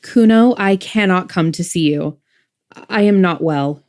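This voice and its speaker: A woman's voice: on the low side, unhurried, a little mellow. A woman playing a frail, nervous, plaintive mother in a full-cast audiobook drama. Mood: distressed refusal. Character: frail, nervous mother in a dystopian machine world.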